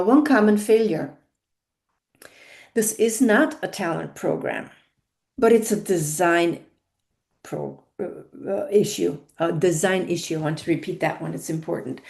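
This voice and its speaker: A woman's voice: medium pitch, dark tone. A woman with a non-native English accent giving a monologue as a career consultant, stressing key words and repeating her points.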